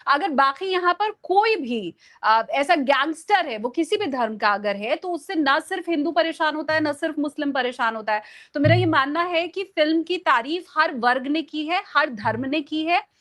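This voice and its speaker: High-pitched woman explaining emphatically. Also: expressive, moderate pace, a bit bright. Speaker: journalist guest on a discussion show. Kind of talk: conversational political commentary.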